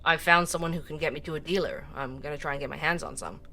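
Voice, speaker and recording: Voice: medium pitch, fairly even intonation, fast talker, sharp tone, a little hiss or hum. A performer playing a sardonic, irreverent investigative podcast host in an audio drama, talking in a casual, teasing, conversational way.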